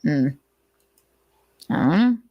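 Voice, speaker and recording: A woman's voice: deep voice, very animated, slow, no echo, dull audio. A woman host reading notes aloud and adding blunt, sarcastic commentary, swearing freely. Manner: sarcastic, mocking.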